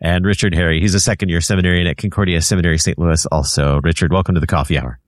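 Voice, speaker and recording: A man hosting a radio interview, introducing and questioning guests in a measured, orderly way. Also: low voice, fairly even intonation, dry recording, studio quality. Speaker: radio show host.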